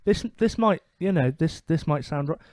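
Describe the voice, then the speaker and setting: A man co-hosting a casual comedy podcast, weighing his views in a measured, hesitant way and often restarting sentences. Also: on the high side, animated, darkish tone, no echo. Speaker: podcast co-host.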